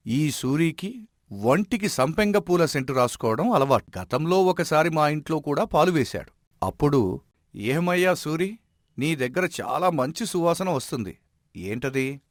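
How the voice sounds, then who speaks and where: A man playing a wise, respected village elder in an animated moral story, giving advice in a measured, admonishing way. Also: fairly high pitch, no room sound, clean studio sound. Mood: assured.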